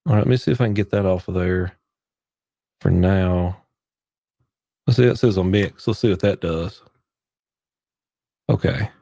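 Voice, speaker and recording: A man hosting a casual live church-tech Q&A from his home studio, sick with a cough, rambling as he clicks through his gear on a boom-arm condenser mic. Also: unhurried, gravelly, long pauses, warm tone, no room sound. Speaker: church tech livestream host. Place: home studio, heater running behind.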